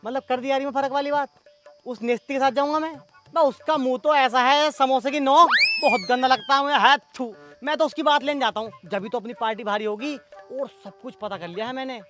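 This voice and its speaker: A man's voice: very high-pitched, brisk, music in the background. A man acting a scheming, argumentative village election campaigner in a comedy skit, speaking colloquially and needling. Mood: aggrieved.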